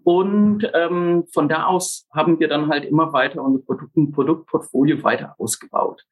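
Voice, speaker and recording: Chatty husky-voiced man in his forties. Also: on the high side, normal speed, rough recording. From grew up in Brazil. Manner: relaxed, chatty, many fillers, self-deprecating humor. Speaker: startup founder, podcast guest. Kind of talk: casual interview talk.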